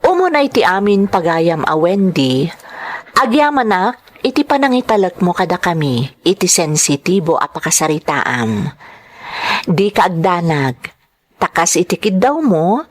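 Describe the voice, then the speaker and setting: A woman reads scripted dramatic narration in a slightly low voice. Her delivery is measured and expressive, with lively rises and falls. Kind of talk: scripted dramatic narration of listeners' letters in Ilocano.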